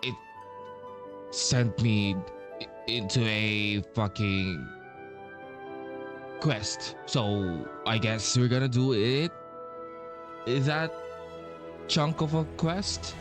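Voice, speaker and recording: Slow, low-voiced man streaming a game. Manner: hesitant, muttering, swearing. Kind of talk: casual gaming commentary. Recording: game music behind voice. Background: game music.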